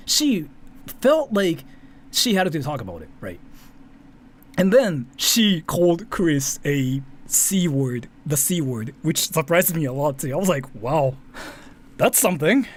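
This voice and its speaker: A man from Japan, a native Japanese speaker, giving casual, rambling off-the-cuff commentary as a YouTuber, often repeating himself and checking in with short tags. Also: on the high side.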